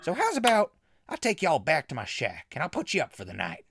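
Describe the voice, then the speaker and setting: A simple, excitable hermit from the backwoods. The voice is sharp and gravelly, leaping around in pitch. It suits a wasteland radio play, with faint music behind. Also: male voice, fairly quick, heavily acted. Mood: folksy, friendly. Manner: folksy, excitable. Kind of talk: post-apocalyptic audio drama acting.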